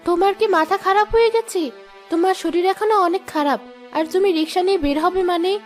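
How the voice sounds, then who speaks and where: Very high voice, poor anxious housemaid played by a woman, plaintive, cartoon drama, music behind. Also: fast. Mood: worried. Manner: plaintive, pleading. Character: poor anxious housemaid, wife and mother, pleading.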